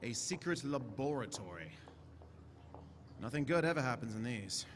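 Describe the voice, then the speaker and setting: A man playing an eccentric, inquisitive time-traveling doctor in a staged audio drama, chatty and brisk with theatrical swings. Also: medium pitch, animated, lightly acted. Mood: brisk, curious. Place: large convention hall stage. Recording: echoey hall stage recording with noise.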